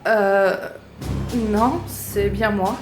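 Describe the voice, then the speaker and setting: A woman's voice: mid-range voice, fairly even intonation, extremely slow. A woman playing an anxious, defensive young survivor in an audio drama, blunt and stammering. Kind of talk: French audio drama acting. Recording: roomy echo, music behind.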